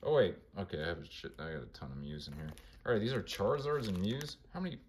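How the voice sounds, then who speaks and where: A man with a monotone voice casually talking through his card collection, mumbling names as he flips through binders. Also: low voice, fast talker, a little hiss or hum. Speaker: collector vlogger.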